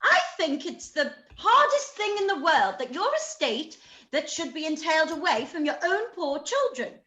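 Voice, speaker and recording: A woman playing a fussy, excitable middle-aged mother in a period radio play, theatrical and exclaiming, recorded in an echoey room. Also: sits high, heavily acted. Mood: indignant complaint. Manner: gasping, exclaiming, drawn-out theatrical delivery. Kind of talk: live-read radio play of a period novel.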